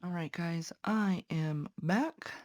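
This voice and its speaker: A woman's voice: fairly low pitch, takes it slowly, a little mellow, no room sound, studio quality. A young woman, a patient care tech and nursing student, chatting earnestly to viewers while stitching, sounding congested. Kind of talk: casual chat while stitching.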